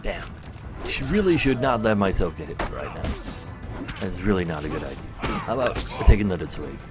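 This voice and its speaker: A man's voice: low-pitched, sing-song, a little fast, music in the background. A man livestreaming a game, mixing muttered commentary with dramatic readings of the game's text. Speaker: live streamer. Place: indoors, livestream with game music behind.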